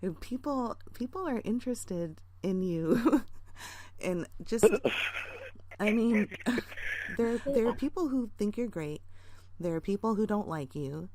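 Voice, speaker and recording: A woman caller chatting casually on the phone, halting and amused, with a giggly way of talking. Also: mid-range voice, animated, darkish tone.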